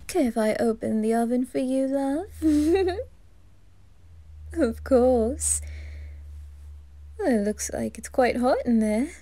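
Woman playing a campy flirt of a male reaper, slow, giggly, warm-toned. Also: sits high, lively intonation, no echo, full character voice. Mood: flirty. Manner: teasing, giggling, breathy whispers. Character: flamboyant flirtatious male reaper posing as butler. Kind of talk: ASMR roleplay.

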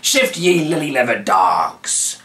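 A man's voice: medium pitch, big pitch swings, pushed and bright, pitched above the performer's own voice, heavily acted. A man voicing a dirty, nasty old pirate henchman with a stage pirate accent, drawing words out menacingly. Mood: threatening. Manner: menacing, drawn-out delivery.

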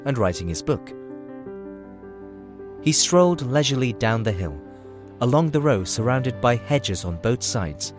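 Male narrator for a fantasy audio drama, lower register, scripted read over faint music.

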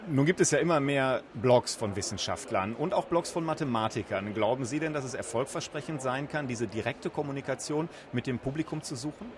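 A man's voice: medium pitch, brisk, hardly any pauses. A man working as an interviewer, introducing guests and putting questions to them in a prepared, formal way. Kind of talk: broadcast-style interview questions. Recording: noisy event ambience with traffic.

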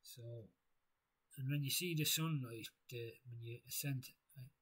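A man with a husky voice giving a rambling philosophical monologue, halting and repeating himself as he thinks aloud. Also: medium pitch, fairly bright tone. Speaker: video creator.

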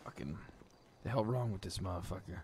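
A man's voice: sits low, brisk. A man streaming a role-play game, chatting casually with profane, teasing banter. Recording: game car and street noise.

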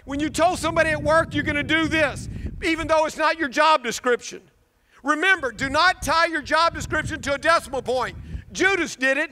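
High-pitched, forceful preaching man. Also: big-room sound. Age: middle-aged. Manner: emphatic, exhortative, with rhetorical questions and commands. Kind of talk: homily, preaching. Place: outdoors at an altar before a church building.

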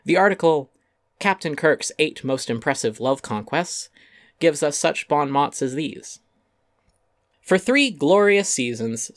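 A man narrates an essay in a dramatic reading, with a medium-pitched voice. He is articulate and emphatic, with a wry edge. The sound is dry and close to the mic. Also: no room sound.

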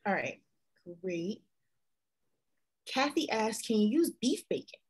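A woman in her thirties hosting a live video call, reading audience questions in a measured, friendly way. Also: a little high, sing-song, husky. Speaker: live event host. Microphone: video call, webcam.

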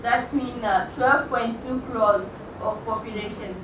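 A young woman from Rwanda, speaking English as a second language after Kinyarwanda, gives a slow, careful talk with many pauses. Her voice is fairly high, and she sounds a little distant from the mic. A roomy hall echo and a steady hiss sit behind her. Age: young adult. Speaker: student speaker. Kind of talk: prepared speech at a college event. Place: stage in a college hall. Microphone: stand mic in front of her. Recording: echoey hall, from a distance, with hum.

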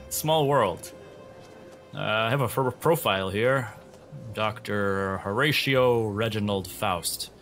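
A man acts out a bureaucratic handler for a tabletop podcast. His voice is a bit low, detached and hesitant, with soft music underneath. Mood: casual, probing. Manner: hesitant, matter-of-fact. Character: detached, bureaucratic male escort handling a patient transfer. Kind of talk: tabletop roleplay in character.

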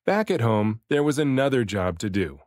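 A man reading a children's picture book aloud as an expressive storybook narrator, varying his delivery for emphasis. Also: mid-range voice, moderate pace, no room sound, clean studio sound. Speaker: children's book reader, dad and voice actor.

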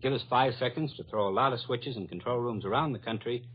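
A very raspy American man presents a 1940s radio news broadcast as host. His middle-pitched voice sits in a dull old recording. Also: fast.

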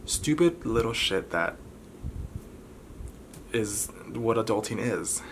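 A man in his twenties talking candidly to camera as a vlogger, halting and pausing often between short phrases. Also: fairly low pitch, lively intonation. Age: 20s. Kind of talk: candid personal talk to camera. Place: bedroom, indoors. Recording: clearly noisy room recording.

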